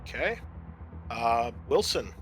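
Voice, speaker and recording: A man's voice: mid-range voice, very animated, takes it slowly. A man narrating a tabletop roleplaying game as game master, explaining things and addressing the players directly. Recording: music underneath the voice.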